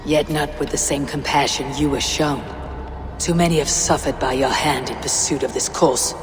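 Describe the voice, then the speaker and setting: A woman's voice: sits low, steady tone, moderate pace, long reverb tail, music in the background, lightly acted. Stern, determined Viking warrior woman, voiced by an actress. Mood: cold, resolute. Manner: terse, commanding. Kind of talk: dramatic video game acting.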